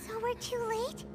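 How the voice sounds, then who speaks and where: Little female fairy sidekick for a game, squeaky-high, earnest, anxious, loud score. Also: heavily acted. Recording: loud game music over voice.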